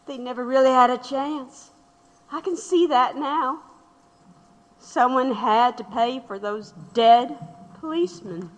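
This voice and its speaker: Slow, sorrowful older widow. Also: female voice, high voice. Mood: wistful. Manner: halting, reminiscing. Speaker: actor in an audio drama. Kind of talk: reflective dramatic dialogue. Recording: long hall-like reverb.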